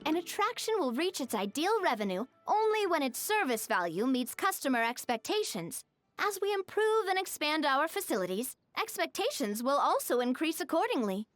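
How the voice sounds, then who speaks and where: A woman's voice: high-pitched, very animated, normal speed, a bit bright, no room sound, faint music behind. A woman voicing an upbeat, encouraging young businesswoman in a video game, cheerful and articulate. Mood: instructive, upbeat.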